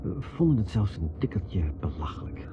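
A man's voice: on the low side, sing-song, moderate pace. An actor playing an earnest, persuasive man in a radio drama, in a conversational way. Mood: earnest, reflective. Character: Ted, earnest persuasive middle-class American man. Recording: noisy, muffled old radio drama recording.

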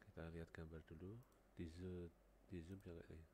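A man's voice: deep voice, flat delivery, normal speed, dark tone, slight background noise. A man with a cold talking through a CAD modeling tutorial on a headset, thinking aloud and repeating numbers as he types them. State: has a cold. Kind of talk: screen-recorded software tutorial.

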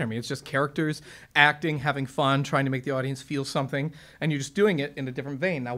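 A man who sings opera talks casually and enthusiastically to an audience, explaining his craft with lots of fillers and restarts. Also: on the high side. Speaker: opera singer and presenter. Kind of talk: relaxed explanatory talk to an audience. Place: stage or studio with piano.

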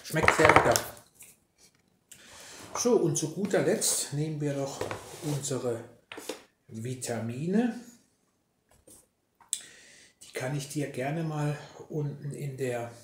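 A man chatting casually to the listener in an ASMR style, unhurried and pausing mid-sentence, indoors at a breakfast table. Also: on the high side, fairly bright tone. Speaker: host. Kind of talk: casual ASMR role-play chat to the listener. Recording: roomy, echoey sound with faint noise. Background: clinking dishes and clicks.

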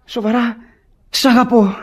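An actor playing a simple, eager country suitor, lovestruck and wheedling, in a classic radio theatre comedy. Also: male voice, extremely high pitch, level tone. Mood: lovestruck, coaxing. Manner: naive, wheedling.

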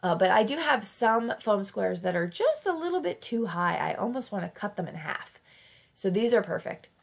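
A woman's voice: medium pitch, sing-song, moderate pace, vocal fry. A woman fighting off a cold, narrating a casual craft tutorial in a chatty, self-correcting way. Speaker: craft channel host.

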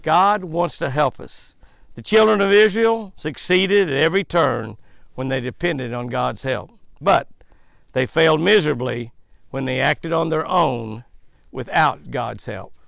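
Gravelly older man preaching by a lake. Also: a little high, a bit bright, no room sound. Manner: repetitive, emphatic, folksy preaching. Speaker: fishing show host. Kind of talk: daily devotional with a fishing tip. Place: outdoors by a lake. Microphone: headset mic.

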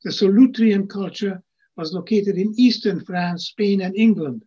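A man's voice: high voice, dark tone, slightly rough. An older man giving a lecture from home over a video call, reading his slides and adding plain asides. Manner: reads slide text, adds plain asides. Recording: muffled lo-fi video call audio.

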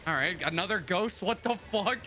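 A man's voice: sits high, expressive, moderate pace, music in the background. A man gaming with friends, prone to frustrated outbursts and swearing. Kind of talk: casual gaming chat.

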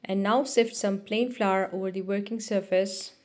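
A woman narrating a cooking video as a voice-over, giving steady step-by-step instructions with small restarts. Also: medium pitch, normal speed. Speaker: cooking channel host.

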